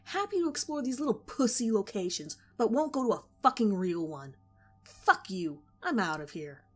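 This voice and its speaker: A woman voicing a hostile young man who yells and spits insults in a horror story reading. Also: mid-range voice, big pitch swings, moderate pace. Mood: contemptuous. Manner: yelling, spitting insults.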